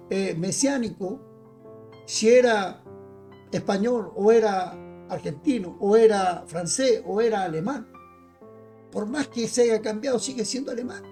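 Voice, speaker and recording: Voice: medium pitch, dark tone. A preacher from Chile, teaching the Bible informally and emphatically, speaking straight to listeners and repeating phrases. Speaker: evangelical preacher.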